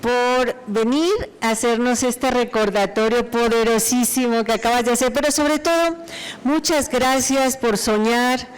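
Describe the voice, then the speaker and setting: A woman's voice: fairly high pitch, smooth, low-quality recording. A woman moderating a conference panel, reading a formal introduction of the next speaker. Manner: reads a formal bio. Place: conference hall.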